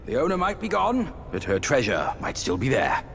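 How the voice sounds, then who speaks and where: An actor playing a brash, mischievous pig demon in a video game, gravelly, teasing and sardonic, with a swaggering delivery. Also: male voice, mid-range voice, huge pitch swings, normal speed, noisy background. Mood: brisk, wary. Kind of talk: video game cutscene acting. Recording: loud music under the voice. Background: cinematic music.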